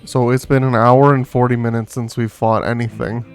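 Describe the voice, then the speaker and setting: A man streaming a game in a casual, off-the-cuff way. His delivery is dry and wry, with an everyday mid-pitched voice and faint music behind him. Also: little pitch movement, moderate pace.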